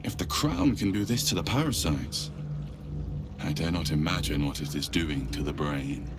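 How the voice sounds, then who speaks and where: A man's voice: a bass voice, normal speed, heavy background noise. A man playing a grave, learned guardian in a video game, speaking formally and solemnly. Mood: thoughtful, explaining. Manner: formal, solemn, portentous delivery. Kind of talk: dramatic video game dialogue.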